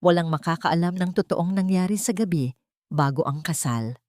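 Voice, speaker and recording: A woman voicing a sly young female schemer, hushed and secretly gleeful, in an audio story. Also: lowish voice, normal speed, no echo, clean studio sound, natural voice. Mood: sly, conspiratorial. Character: scheming young woman whispering slyly on the phone.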